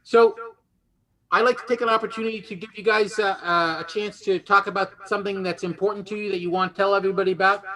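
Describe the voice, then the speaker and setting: A man's voice: sits high, fairly even intonation, quick pace, sharp tone, low-quality recording. A man hosting a game show in an unscripted, joking style.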